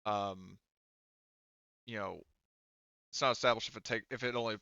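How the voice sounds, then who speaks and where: A man's voice: lowish voice, steady tone, normal speed, gravelly, pushed and bright, clean tone, no room sound. A man acting as game master, casually refereeing a tabletop game and thinking aloud in a hesitant way. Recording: band-limited, dull dry audio.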